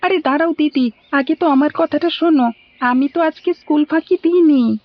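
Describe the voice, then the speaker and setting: A woman's voice: high voice, moderate pace, slight background noise, lightly acted. A woman voicing a cheerful, helpful little schoolboy in a cartoon, with a Bangladeshi accent. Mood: pleading. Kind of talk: animated cartoon dialogue. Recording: dull lo-fi audio.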